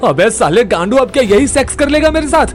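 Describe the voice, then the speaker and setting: Man playing a high-voiced, cocky young schemer. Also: big pitch swings, quick pace, soft background music. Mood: bossy, mocking. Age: young adult. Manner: confident, commanding, mocking. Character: cocky young male schemer, secretive, foul-mouthed, bossy. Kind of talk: Hindi audio comedy-horror drama acting.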